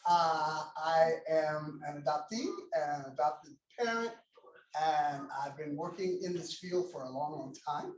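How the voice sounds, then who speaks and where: A man's voice: on the high side, a little slow. A middle-aged man introducing himself at a workshop in an easygoing, joking way. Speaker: workshop presenter. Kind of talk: personal introduction at a conference panel. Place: conference room. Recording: echoey room, distant mic.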